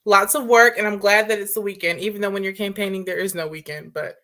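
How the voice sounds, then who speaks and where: A young woman from Trinidad and Tobago speaks as a guest candidate in an interview, earnest and quick to laugh. Her voice is bright, and her pitch sits in the middle. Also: steady tone. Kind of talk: interview conversation.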